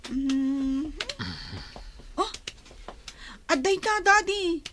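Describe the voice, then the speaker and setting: A very high-pitched, childlike schoolgirl played by a woman in a radio comedy drama. She is whiny and scheming, dragging her words out slowly and plaintively. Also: noisy background, heavily acted. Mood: sly, tattling.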